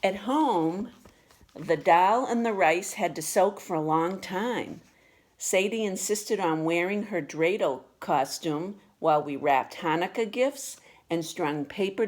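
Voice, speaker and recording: A woman reading a children's picture book aloud with expressive, deliberate storytelling delivery. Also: on the low side. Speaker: storybook reader.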